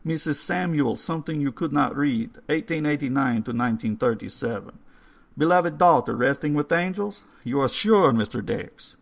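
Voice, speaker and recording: A man voicing an old undertaker who speaks in a formal, slow and ominous way. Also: on the high side, lively intonation, gravelly, pitched above the performer's own voice. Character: old Hungarian male undertaker, courtly and eerie.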